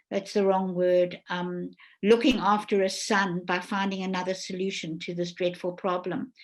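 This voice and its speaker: Chatty, digressive woman hosting a panel. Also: medium pitch. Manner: chatty, digressive, self-correcting. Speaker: webinar host and moderator. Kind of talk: informal live panel hosting.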